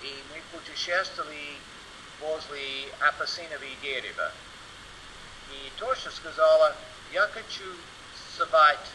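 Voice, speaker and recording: A man with an American accent gives an informal conference talk as a non-native speaker, halting and searching for words with broken grammar. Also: a little high, pushed and bright. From United States. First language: English. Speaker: guest conference presenter. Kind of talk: informal conference talk in Russian as a second language. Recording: noisy online seminar audio.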